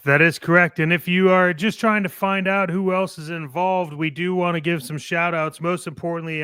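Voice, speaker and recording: A man co-hosting a toy collectors' show, giving casual, playful promotional shout-outs like a pitchman. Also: smooth, no echo.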